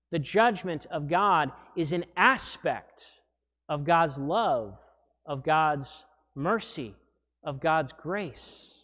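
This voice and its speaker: A man in his thirties, a pastor preaching a sermon from the pulpit mic, animated and wry, with small restarts. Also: sits high. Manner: animated, gesturing while preaching. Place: church sanctuary, indoors. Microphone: gooseneck pulpit mic. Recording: long church reverb.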